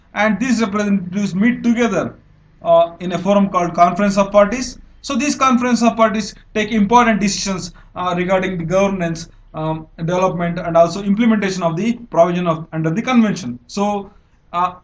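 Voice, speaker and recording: A man's voice: high-pitched, a little fast, highs cut off. A young man with an Indian English accent, teaching an exam-prep lecture over slides, explaining step by step with frequent fillers and self-corrections. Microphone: voice-over recorded over screen annotation.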